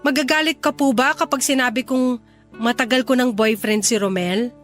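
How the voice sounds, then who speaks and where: A woman's voice: sits high, fairly even intonation, moderate pace, fairly bright tone, soft background music. A woman with a Filipino accent playing an earnest, defiant young adult daughter in love in a radio drama. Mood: nervous. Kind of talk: Tagalog radio drama acting.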